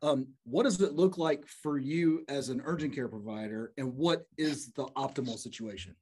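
A man's voice: mid-range voice, normal speed, mellow, slightly rough. A man hosting a business podcast, enthusiastic as he introduces guests and leads the questions. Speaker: podcast host.

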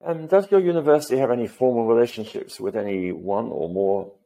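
A man hosting a podcast, asking polite, measured questions. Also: medium pitch, vocal fry, mellow, rough recording. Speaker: podcast host.

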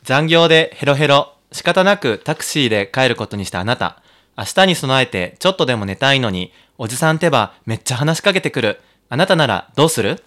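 A man's voice: mid-range voice, lively intonation, brisk, a bit bright. A man co-hosting a podcast in casual, playful banter, often talking through giggles. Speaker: podcast co-host.

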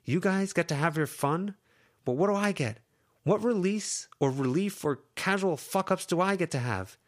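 A man doing an exasperated teenage girl, high and pressing. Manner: tense, pleading, scolding. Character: exasperated teenage girl, frustrated, protective of friends.

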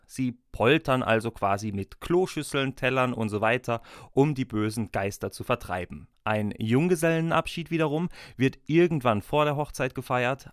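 A man's voice: medium pitch, no room sound, studio quality. A man hosting a podcast, introducing topics and addressing his listeners and guests. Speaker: podcast host, freelance wedding speaker.

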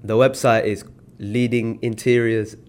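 A young British man, telling stories in a relaxed, slangy way as a podcast guest. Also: fairly low pitch, unhurried, slight background noise. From Britain. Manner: relaxed storytelling with slang and fillers. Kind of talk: casual podcast chat.

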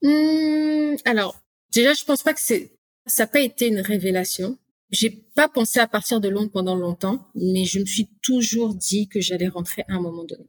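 A woman's voice: medium pitch, moderate pace. A woman in her late thirties, a guest in a casual interview, chatty and rambling with many fillers and restarts. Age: late 30s. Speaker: podcast guest.

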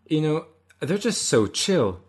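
A man's voice: a little high, big pitch swings, slow pace, slightly rough. A man with a Scottish accent chatting casually, rambling and restarting his thoughts. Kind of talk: casual chat.

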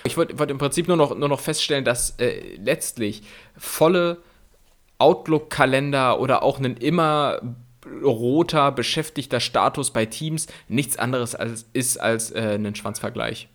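A man's voice: medium pitch, unhurried, a bit bright. A young man co-hosting a casual podcast, talking loosely with frequent stammers and repeated words, often laughing as he speaks. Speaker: podcast co-host.

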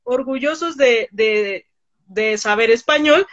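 Hesitant high-voiced woman, lo-fi sound. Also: slow, background noise. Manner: hesitant, restarts often. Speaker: interview host. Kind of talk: casual interview chat. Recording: dull lo-fi sound.

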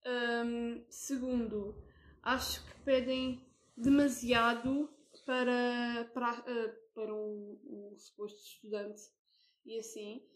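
A woman's voice: a little high, flat delivery, slow, echoey room, poor audio. A young woman with a European Portuguese accent, co-hosting a casual podcast chat, hesitant and full of fillers and restarts. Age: young adult. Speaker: podcast co-host.

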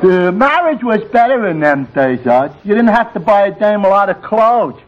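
An actor playing a dim-witted, hesitant tavern regular in a 1940s radio comedy. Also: male voice, high-pitched, big pitch swings, normal speed, crowd noise. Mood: dopey, earnest. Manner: doltish, hesitant. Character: Finnegan, dim-witted, slow-thinking male tavern regular. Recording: muffled, noisy 1940s radio with audience.

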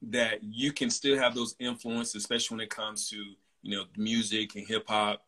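Chatty man in his forties, bright voice. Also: on the low side, normal speed. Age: 40s. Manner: relaxed and chatty, many fillers. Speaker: host. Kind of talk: casual interview chat.

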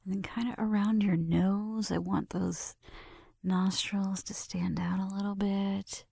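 A woman speaks in a soft, intimate ASMR roleplay style, drifting between whisper and low murmur. Her voice is creaky and husky at the edges. It sounds very close and dry, with gentle step-by-step narration. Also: level tone, measured pace, dry recording. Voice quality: soft, breathy, often whispering. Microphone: close mic.

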